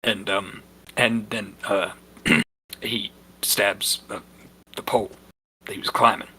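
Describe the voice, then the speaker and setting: A man's voice: lowish voice, little pitch movement, takes it slowly, sharp tone, slight background noise, poor audio. A man giving an amateur, dramatic comic reading of a story as narrator, stumbling now and then. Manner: stumbling, breaks into amused asides. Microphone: voice chat on a computer or headset mic.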